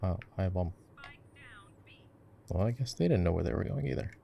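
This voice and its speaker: A man with a very low voice and plenty of vocal fry talks casually as he plays a game. He keeps it soft, often murmuring to himself in an ASMR roleplay style. Keys and clicks sound behind him with a faint layer of noise. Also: animated, fairly quick. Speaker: voice actor roleplaying a gamer boyfriend. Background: keyboard typing and clicking.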